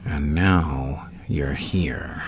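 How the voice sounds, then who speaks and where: A man acting out a menacing, playful mad clown villain in a soft-spoken ASMR roleplay, taunting and intimate, often dropping to a whisper. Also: extremely low pitch, extremely slow, slight background noise, exaggerated voice. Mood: gloating, seductive.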